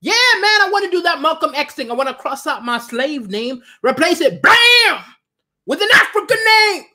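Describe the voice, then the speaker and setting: A man voicing an excitable young American man who talks in an eager, shouting way. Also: normal speed, heavily acted. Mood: excited. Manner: shouting, high-pitched and pumped up.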